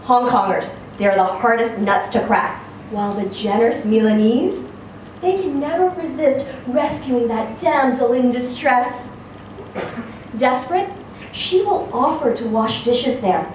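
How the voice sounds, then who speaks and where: A woman giving a live dramatic reading of a literary story, phrasing it expressively and deliberately with pauses. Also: on the high side, animated, a little mellow. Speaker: story reader. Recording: very echoey room, distant mic, faint noise.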